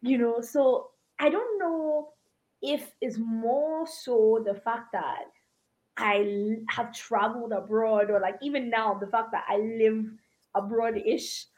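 A woman's voice: a little high, rough recording. A woman from Ghana with an American accent, a musician chatting casually and hesitantly as a podcast co-host. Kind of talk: casual conversation.

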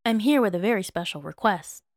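A woman's voice: mid-range voice, animated, fairly quick, dry recording, clean studio sound, natural voice. A woman voicing a polite, formal older male guard in an audiobook. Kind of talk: audiobook character dialogue.

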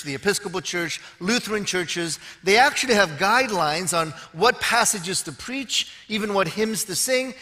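A man's voice: high voice, sharp tone. A man in his fifties preaching to a large audience, joking dryly at his own expense before turning to teaching. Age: 50s. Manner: wry, joking asides to the audience. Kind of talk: sermon with self-deprecating humor. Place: large auditorium with long reverb. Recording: long hall reverb on stage.

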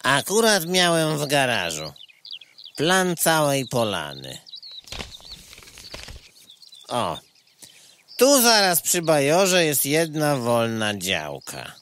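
A man playing an unhurried, money-minded insect in a radio play, matter-of-fact and wheedling. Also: on the high side, huge pitch swings, a bit bright, slight background noise, full character voice. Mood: helpful, matter-of-fact. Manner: indirect third-person address, matter-of-fact.

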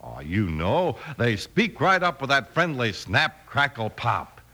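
A man's voice: medium pitch, very animated, unhurried, sharp tone. An American man reading a vintage radio commercial to children in a chummy, coaxing manner. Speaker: commercial announcer. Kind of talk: 1950s radio cereal commercial, addressing children.

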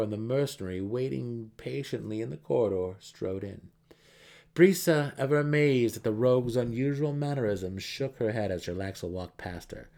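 A man's voice: on the low side, a little mellow. A man reading a fantasy novel as a narrator in a theatrical, emphatic way. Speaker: audiobook narrator.

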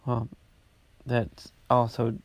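A man hosting his own podcast, talking casually about his week, congested and halting with many restarts. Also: medium pitch, monotone, no echo. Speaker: podcast host. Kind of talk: casual personal update.

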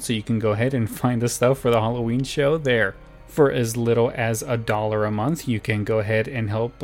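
A man's voice: mid-range voice, a little mellow. A man hosting a podcast, chatting to listeners in a laid-back, informal, rambling way. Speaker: podcast host. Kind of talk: casual chat to listeners. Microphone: close podcast microphone, wearing headphones.

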